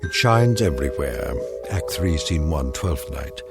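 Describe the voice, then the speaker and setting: An actor playing a proud, flowery Elizabethan playwright, declaiming grandly in archaic phrases. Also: male voice, rumbling low, animated, measured pace, creaky, exaggerated voice. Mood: indignant, scornful. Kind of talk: theatrical TV drama acting. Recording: music under the dialogue.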